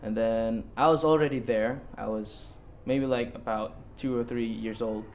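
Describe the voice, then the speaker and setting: Student podcast guest, male, late teens, from Bali. Mid-pitched, halting casual answers, audible background noise. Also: fairly even intonation. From Bali, Indonesia.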